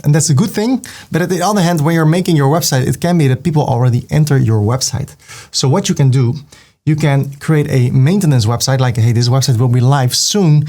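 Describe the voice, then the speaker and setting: A man from the Netherlands, calmly narrating a step-by-step software tutorial and describing each click as he makes it. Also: on the high side. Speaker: web design tutor. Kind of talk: screen-recorded software tutorial.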